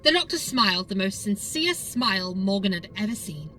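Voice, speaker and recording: A woman's voice: mid-range voice, sing-song, normal speed, sharp tone, distant mic, faint music behind, low-quality recording. A woman narrating a scripted audiobook story in a dramatic storytelling style.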